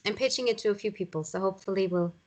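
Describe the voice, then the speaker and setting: A woman's voice: medium pitch, rapid-fire, darkish tone, rough recording. A woman in casual chat, speaking as the writer hosting a table read.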